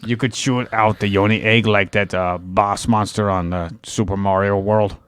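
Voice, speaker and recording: A man's voice: fairly low pitch, steady tone, moderate pace, fairly bright tone, smooth, dry recording. A man co-hosting a podcast, explaining ideas at length in a rambling way with dry jokes in casual group chat. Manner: rambling, explanatory, dryly joking. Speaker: podcast co-host.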